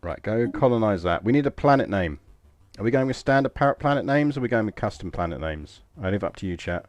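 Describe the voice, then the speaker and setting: A deep-voiced English man talks his viewers through a strategy game in a loose, wandering way, poking fun at himself. Faint music plays underneath. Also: lively intonation, fast, dry recording. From England. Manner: rambling, chatty, self-deprecating. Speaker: game streamer. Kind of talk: casual live gameplay commentary.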